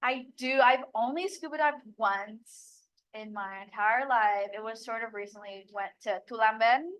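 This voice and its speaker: A woman's voice: on the high side, a little slow, a bit bright, low-quality recording. A young woman from Bali giving casual, chatty advice as a local guide, with lots of "like" fillers. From Bali, Indonesia. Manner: chatty, many "like" fillers, laughs easily.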